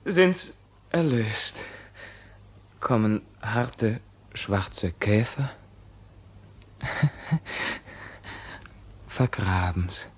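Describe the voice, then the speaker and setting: A wild captive youth with a husky, childlike voice. He speaks extremely slowly, in halting, broken fragments, fearful and visionary, in intense theatrical radio drama style. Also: lowish voice, sing-song, full character voice. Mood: terrified. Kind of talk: theatrical German radio play acting.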